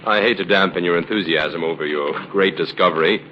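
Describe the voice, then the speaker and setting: A man's voice: low-pitched, a little fast, a little hiss or hum, natural voice. Man acting a shrewd radio detective on a muffled old recording. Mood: grave, reasoning. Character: confident, shrewd male investigator, brisk and commanding.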